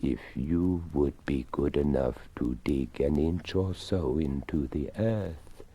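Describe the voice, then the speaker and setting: A man voicing a courteous, grateful skeleton in a folktale, with polite, unhurried speech. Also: very deep voice, warm tone, husky, exaggerated voice. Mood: faint, polite. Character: courteous, grateful old male skeleton in the earth.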